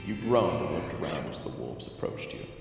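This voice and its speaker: A man delivers dramatic scripted fantasy narration in a lowish, gravelly voice. His intonation is very lively. The recording is heavily echoey with long reverb, and game-style music plays clearly behind him. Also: moderate pace. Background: game-style background music.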